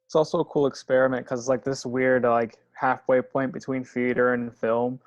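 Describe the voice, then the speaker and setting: A man with a flat, even tone talks casually on a panel. His video call audio is dry and muffled. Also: medium pitch, normal speed, no echo. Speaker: actor on a panel.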